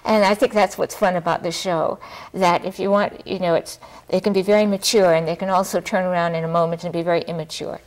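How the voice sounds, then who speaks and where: A middle-aged woman with a fairly low voice talks casually in a studio interview. She speaks haltingly, often restarting a thought as she explains things as a director would. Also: steady tone, poor audio. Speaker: theater director, talk show guest. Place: TV studio, seated at a table.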